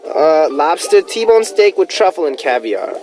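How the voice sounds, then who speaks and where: A man's voice: fairly high pitch, big pitch swings, unhurried, creaky, heavily acted. A man voicing a demanding, rude five-year-old boy who whines and fakes tears, in a comic radio skit. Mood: wailing, demanding. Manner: whining, rude, fake crying.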